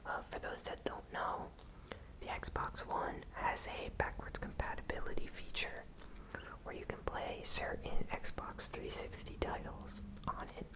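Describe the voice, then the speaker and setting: A host whispering a news recap in ASMR style, softly and with gentle pauses. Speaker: host of a gaming news channel.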